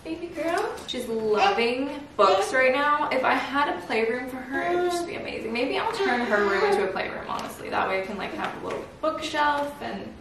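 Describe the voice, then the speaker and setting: A woman's voice: high-pitched, expressive, normal speed, few pauses, background noise. Chatty young woman vlogging at home. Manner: bubbly, laughs often, lots of "like". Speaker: lifestyle vlogger. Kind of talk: casual chatty vlog. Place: home kitchen and living room. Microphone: handheld vlog camera.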